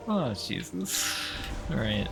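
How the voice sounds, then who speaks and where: A man's voice: medium pitch, creaky. A man giving casual, rambling gameplay commentary as a streamer, swearing freely and picking at details. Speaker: streamer playing a video game. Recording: game music behind the voice. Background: video game music.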